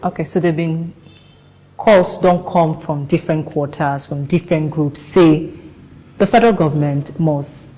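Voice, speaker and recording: A woman's voice: deep voice, a little slow, dark tone, low-quality recording. A woman with a Nigerian accent putting questions as a news interviewer.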